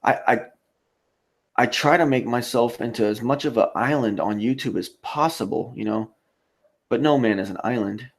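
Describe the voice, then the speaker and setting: A man hosting a casual livestream, reading out chat comments and replying in a rambling, halting way. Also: mid-range voice, breathy edge. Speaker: live stream host.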